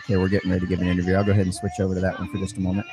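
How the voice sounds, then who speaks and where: A man from the southern United States hosts a casual livestream with a fairly low voice. He talks haltingly, restarting and repeating words as he goes, and music plays clearly behind him. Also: monotone. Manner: hesitant, repeats words, many fillers. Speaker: livestream host. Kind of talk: casual live commentary.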